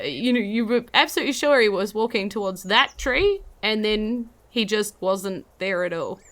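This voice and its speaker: A woman's voice: medium pitch, a bit bright. A woman with a New Zealand accent, the game master of a tabletop podcast, thanking supporters in a chatty, giggly way with many fillers.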